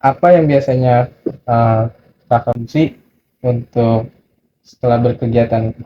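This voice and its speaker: A man's voice: medium pitch, unhurried. A man acting as interviewer, putting questions in a casual conversational style. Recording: muffled, noisy lo-fi recording.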